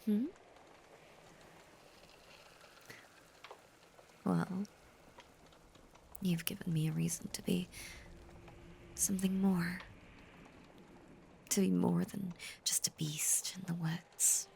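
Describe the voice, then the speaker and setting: A woman playing a possessive, obsessively devoted female werewolf lover in an intimate roleplay audio, breathy and whispery, with lingering pauses. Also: lowish voice, fairly even intonation, mellow, slight background noise, exaggerated voice. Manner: slow, lingering, intimate delivery with long pauses.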